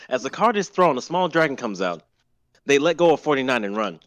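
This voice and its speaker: A man's voice: mid-range voice, very animated, brisk, dull audio. A young man narrating stage directions in a live amateur script reading. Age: young adult.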